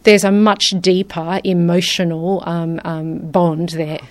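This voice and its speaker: A woman's voice: on the low side, little pitch movement, slow pace, a little mellow. A middle-aged Australian woman, a guest in a radio studio interview, talking earnestly and reflectively. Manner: earnest and reflective, laughs often.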